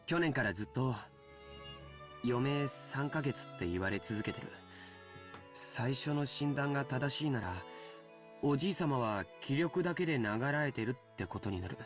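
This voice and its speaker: An actor voicing a calm, caring young man in a visual novel, speaking softly and reassuringly. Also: mid-range voice, fast talker, close to an everyday voice. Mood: gently reasoning. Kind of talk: Japanese game voice acting. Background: clear game music.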